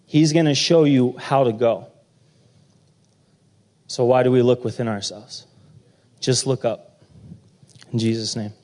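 A man's voice: medium pitch, steady tone, unhurried, pauses often, darkish tone. Earnest guy kinda far from the mic, ending his little talk with a prayer. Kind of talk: closing prayer of a short message.

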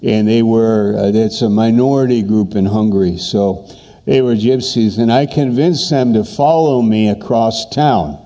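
Male pastor, dark mellow tone, unhurried emphatic sermon delivery. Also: mid-range voice. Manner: repetitive, emphatic preaching with pauses.

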